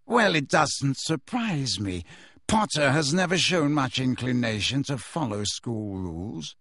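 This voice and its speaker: A man voicing a cold, indifferent male professor who speaks slowly, smoothly and sardonically, in a dry close recording. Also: medium pitch, very animated, a bit bright, no room sound. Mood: cold sardonic. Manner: slow, smooth, cool, sardonic.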